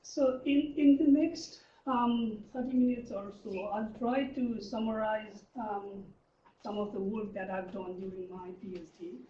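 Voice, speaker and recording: A man's voice: high voice, fairly even intonation, a little slow, a little hiss or hum. Man from Nepal, 30s, academic talk full of fillers; heard from afar in an echoey room. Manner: hesitant, frequent um and uh fillers. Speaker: research lecturer. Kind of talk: academic presentation. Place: lecture room. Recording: distant, dull, roomy lecture recording.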